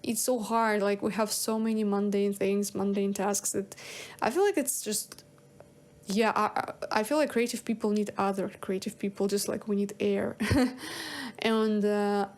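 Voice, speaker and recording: A woman's voice: medium pitch, fairly even intonation, unhurried, a little mellow. Young woman from kazakhstan, musician, rambly and unsure, lots of likes and uhs, medium voice. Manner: rambling, hesitant, many fillers and restarts. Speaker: singer-songwriter podcaster. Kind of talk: casual solo monologue.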